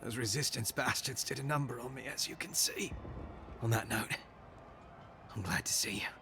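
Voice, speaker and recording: Man playing gravelly, bitter dying imperial loyalist. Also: mid-range voice, normal speed, background noise, lightly acted. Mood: sardonic, weary. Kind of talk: dramatic video game cutscene acting.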